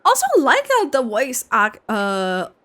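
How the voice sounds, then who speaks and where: A woman's voice: fairly high pitch, sing-song, a little slow, fairly bright tone. A woman giving casual playthrough commentary, reacting to a game in brief interjections. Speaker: gaming reactor.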